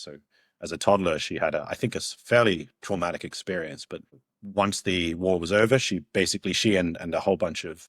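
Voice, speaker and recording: A young man from Hong Kong with a low, deep voice. He tells stories as a podcast guest, reflective and rambling, and often circles back to restart his sentences. The sound is close and dry. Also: breathy edge, no echo. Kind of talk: casual storytelling interview.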